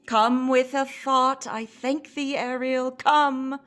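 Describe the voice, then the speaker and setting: A woman playing a weary but commanding old magician, speaking in measured declamation in a Shakespearean radio play. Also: high voice, steady tone, a bit bright.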